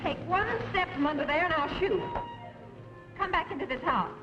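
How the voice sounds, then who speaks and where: A spirited young schoolteacher in a radio western. Her raised voice is feisty and righteous. The old recording is dull, with clear music behind her. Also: high-pitched, animated, normal speed. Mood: defiant.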